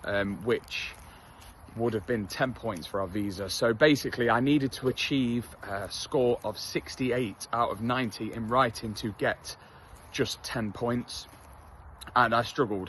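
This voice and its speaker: A man's voice: medium pitch, fairly bright tone, slight background noise. Candid English man talking while jogging. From England. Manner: candid, self-deprecating, chatty with many fillers. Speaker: vlogger. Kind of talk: casual talk to camera while jogging. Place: outdoors on a street.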